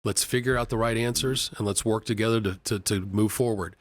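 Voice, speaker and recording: A man's voice: on the low side, fairly even intonation, a little fast, no echo. A man from Kentucky, an executive, talking casually and earnestly in a podcast interview, often restarting and repeating words. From Kentucky, USA. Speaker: company executive vice president. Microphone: studio podcast mic.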